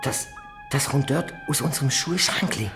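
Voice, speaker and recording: Grumbly low-voiced Swiss German boy. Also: expressive, normal speed, music in the background. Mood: worried, reluctant. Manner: sulky, reluctant, muttering. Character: grumbling, reluctant young twin boy detective. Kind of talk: children's audio drama acting.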